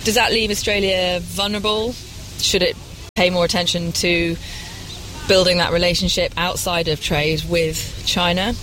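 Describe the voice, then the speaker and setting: A woman's voice: on the low side, fairly even intonation, normal speed, a bit bright, slight background noise, poor audio. A woman working as a radio reporter and presenter, narrating a documentary and putting questions to interviewees.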